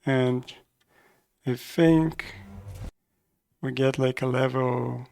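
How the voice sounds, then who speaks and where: A man in his thirties doing casual, low-key live commentary on a game, thinking aloud as he plays. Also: steady tone, drawn-out words, gravelly, darkish tone. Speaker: streamer and game developer.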